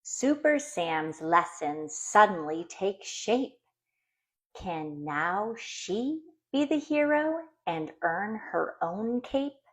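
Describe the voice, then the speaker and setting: A woman reading a children's storybook aloud in an expressive, deliberate way for young listeners. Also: medium pitch. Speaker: storybook reader.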